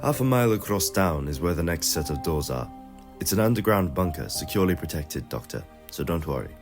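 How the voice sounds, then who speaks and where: A man's voice: low voice, sing-song, brisk, music in the background. A man voicing an evasive, pleading carnival ringmaster in an audio story. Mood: reassuring.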